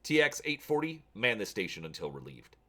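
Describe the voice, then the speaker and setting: A man's voice: fairly low pitch, sing-song, fairly quick, pushed and bright, exaggerated voice. A man voicing a stern, irritated and menacing military general in a storybook reading, clipped and commanding. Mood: angry, commanding.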